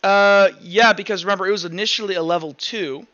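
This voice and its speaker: A man running a tabletop game as game master, narrating in a casual, halting, conversational way. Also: high voice, fairly even intonation, sharp tone, lo-fi sound.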